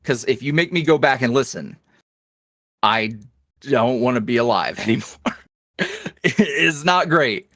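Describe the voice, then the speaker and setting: A man, an ASMR roleplay creator, chatting casually as a guest in an interview, animated and self-deprecating with plenty of fillers. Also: mid-range voice, a little slow, pauses often, no echo, clean studio sound.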